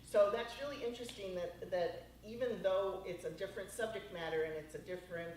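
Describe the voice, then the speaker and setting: A woman's voice: mid-range voice, fairly quick, darkish tone. A woman moderating a panel discussion, enthusiastic, speaking straight to the listeners. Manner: enthusiastic, addresses listeners as folks. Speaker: panel host. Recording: echoey room, mic at a distance, faint noise.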